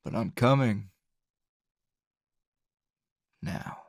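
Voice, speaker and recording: A man playing a gruff, flirtatious male anime hero in an intimate ASMR roleplay, gravelly and husky, teasing and halting. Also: on the low side, no echo, clean studio sound. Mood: playful, teasing. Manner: slow, teasing, halting with sighs and chuckles.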